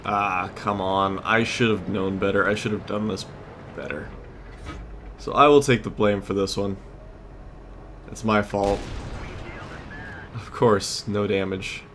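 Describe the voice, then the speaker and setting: A man's voice: fairly low pitch, steady tone, takes it slowly, long pauses, a bit bright, a little hiss or hum. A man giving casual gameplay commentary as a let's play host, thinking aloud in a conversational way.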